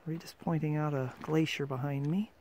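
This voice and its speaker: A man's voice: a little high, fairly even intonation, moderate pace, a little mellow. Man narrating a mountain hike on camera. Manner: plainly announces places and dates. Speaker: hiker filming a trail video. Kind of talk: casual travel narration behind the camera. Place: outdoors on a mountain trail by a lake and waterfall. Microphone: handheld camera mic. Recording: outdoor camera audio with noticeable background noise.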